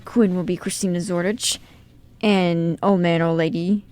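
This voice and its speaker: A woman's voice: lowish voice, fairly even intonation, unhurried, darkish tone, smooth. A woman hosting a radio show, moving between scripted introduction and casual chat.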